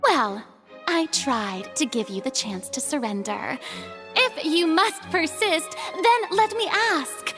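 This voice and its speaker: Haughty goddess for an anime game: grandiose, theatrical, very animated, unhurried delivery. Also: female voice, sits high, over music. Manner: grandiose, dramatic, declamatory. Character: theatrical, haughty young goddess on trial as defendant.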